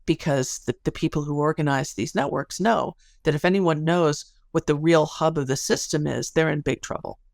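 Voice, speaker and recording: A woman's voice: deep voice, normal speed, gravelly, hardly any pauses, darkish tone, no echo, studio quality. A woman speaking as an expert interview guest, explaining things in an articulate, analytical way. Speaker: interview guest, sociology researcher.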